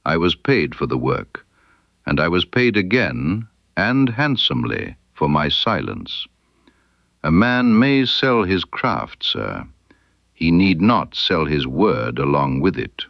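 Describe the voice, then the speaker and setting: A man acting out a proud, stubborn wax sculptor in an audiobook. The voice is low and slow, with blunt, formal period phrasing and emphatic swings of pitch. It is recorded very dry. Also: sing-song, vocal fry, no echo, lo-fi sound, full character voice. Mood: wary, defiant. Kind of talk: character dialogue in an audiobook.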